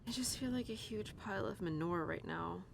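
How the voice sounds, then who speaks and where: A woman playing an upset young cowgirl talking tenderly and haltingly to her horse in an intimate audio roleplay. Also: close to an everyday voice. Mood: weary, gently amused. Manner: halting, casual, sighing, talking softly to an animal. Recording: clear background noise with low rumble.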